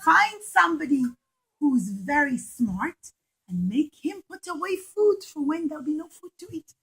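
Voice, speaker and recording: A woman's voice: high voice, big pitch swings, measured pace, a bit bright, full character voice, poor audio. A woman voicing an earnest young male adviser explaining a prophecy, emphatic and repetitive, stretching key words for children. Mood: earnest, urgent.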